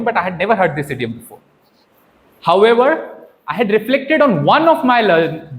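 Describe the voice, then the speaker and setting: Animated, high-pitched Indian-accented man teaching. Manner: theatrical, emphatic, rhetorical questions and deliberate pauses. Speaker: public speaking trainer at a Toastmasters session. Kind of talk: live workshop talk, impromptu teaching with personal anecdotes.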